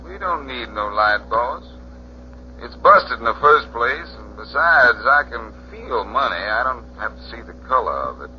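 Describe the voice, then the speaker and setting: An actor playing a sly, slangy hotel porter turned blackmailer in a 1940s radio crime drama, taunting and unhurried. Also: male voice, medium pitch, sing-song, lightly acted. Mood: sly, menacing. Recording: muffled, hissy 1940s radio recording.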